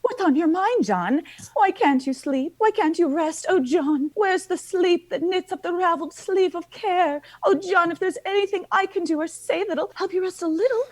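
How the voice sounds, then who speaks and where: Woman doing a high pitched nagging wife, all dramatic and pleading, radio comedy sketch. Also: normal speed. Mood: plaintive. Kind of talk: comic radio sketch acting.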